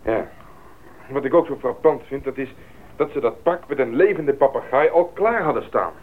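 A man's voice: medium pitch, animated, normal speed, natural voice. A man in a radio play playing a cautious, inquisitive companion who often sounds questioning. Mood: curious, moved. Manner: questioning, wary. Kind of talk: Dutch radio play acting. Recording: tinny old radio recording, loud engine noise.

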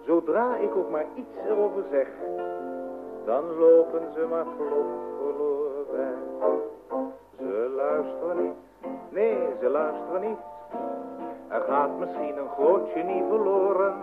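Actor as a plaintive young playwright, highish voice. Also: male voice, lightly acted. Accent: Dutch. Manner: plaintive, rhyming, melodramatic. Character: self-pitying young would-be playwright, ignored by his family. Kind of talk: old-fashioned theatrical radio comedy song and monologue. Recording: phone-like old radio recording, loud music behind.

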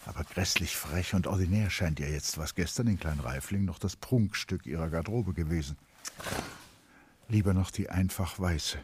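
A man narrating a literary radio drama in a measured, precise, dramatic manner, as an intimate observer. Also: deep voice, darkish tone, slight background noise, natural voice. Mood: tense, observant.

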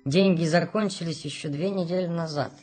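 A man's voice: fairly high pitch, a little fast, a little mellow, close to an everyday voice. Wistful, gloomy man acted over music. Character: gloomy, whimsical unborn man musing on life. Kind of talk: radio play acting. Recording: music behind the voice.

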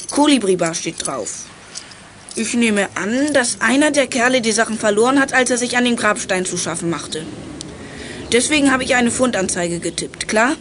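Confident bossy child detective played by a woman, children's radio play, fairly high, decisive, faint noise. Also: natural voice.

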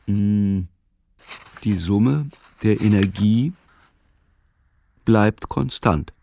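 An actor playing a pensive, solitary man musing over facts in a literary radio play, with a gravelly voice and measured, deliberate delivery. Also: on the low side, dark tone, a little hiss or hum, exaggerated voice.

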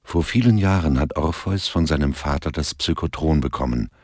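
A man's voice: rumbling low, normal speed, dry recording, clean studio sound. A man narrating a radio drama as a sober, detached storyteller, reading from a script.

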